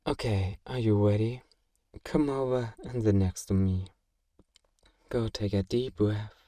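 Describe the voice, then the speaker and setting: A genteel, possessive male vampire, played by a man in an ASMR roleplay. His voice is fairly low, dark and relaxed, and he coaxes and soothes with doting politeness. The sound is very dry and intimate, with no room echo.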